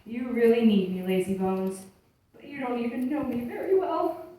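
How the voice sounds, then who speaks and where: A woman's voice: on the high side, normal speed, mellow, natural voice. A woman voicing a bossy, reproachful talking Bible in a church puppet show, admonishing and instructing with emphasis. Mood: instructive. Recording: echoey room, distant mic, faint noise.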